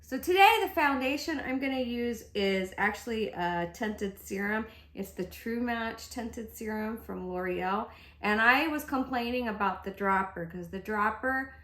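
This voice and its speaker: A woman's voice: fairly high pitch, fairly bright tone. Chatty congested woman in echoey room. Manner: chatty, upbeat, thinking aloud while applying makeup. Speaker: beauty vlogger. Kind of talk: casual makeup tutorial chat.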